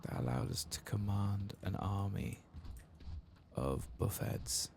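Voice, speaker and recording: A man giving soft-spoken, partly whispered ASMR gaming commentary, casually swearing and thinking aloud. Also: lowish voice, animated, slow pace, vocal fry, slight background noise. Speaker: host of an ASMR game playthrough.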